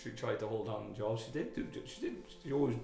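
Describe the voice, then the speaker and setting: A man from Wales, a guest telling his own story in a candid interview, halting, with many fillers and restarts. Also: medium pitch, big pitch swings, creaky, mellow. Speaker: guest, army veteran. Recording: echoey room with music behind.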